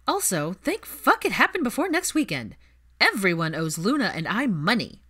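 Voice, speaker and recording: A woman's voice: medium pitch, very animated, normal speed, bright, forceful tone, slightly rough, heavily acted. A woman voicing a brash, nosy young woman who teases her best friend gleefully in an audiobook. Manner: exclamatory, gleeful teasing.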